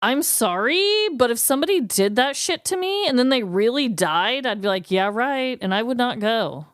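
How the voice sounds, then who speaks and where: A woman's voice: a little high, animated, moderate pace, clean tone, dry recording, clean studio sound. A woman co-hosting a podcast in casual chat, with an informal, blunt way of talking. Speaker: podcast co-host.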